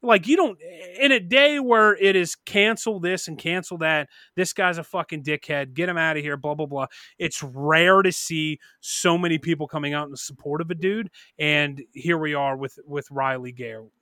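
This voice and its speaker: A man's voice: on the high side, normal speed, hardly any pauses, no echo, studio quality. A man in his early thirties co-hosting a podcast, rambling and swearing in loose casual chat. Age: early 30s. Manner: profane, rambling, animated. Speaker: podcast co-host.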